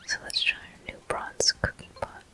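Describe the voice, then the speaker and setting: A person whispering gentle, unhurried ASMR commentary as a video game walkthrough host. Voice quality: soft, breathy whisper.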